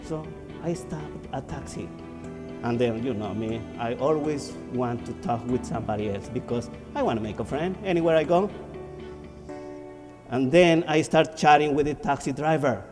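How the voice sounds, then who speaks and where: A man's voice: mid-range voice, normal speed, long reverb tail, over music. A man from Ecuador, giving a motivational speech as emphatic storytelling with repeated phrases. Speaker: motivational speaker.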